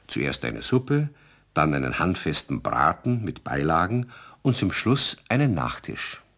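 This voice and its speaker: A man playing a genial storyteller, narrating a children's radio play in a playful, expressive way. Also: very deep voice, gravelly, heavily acted. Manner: addresses listeners playfully, measured and expressive.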